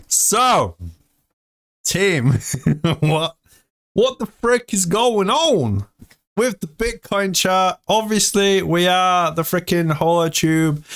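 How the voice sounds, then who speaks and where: Laughing, jokey man in his thirties. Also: on the high side. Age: 30s. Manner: jokey, laughs often, chatty. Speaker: live stream host. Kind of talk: casual live-stream chat. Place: hot room indoors.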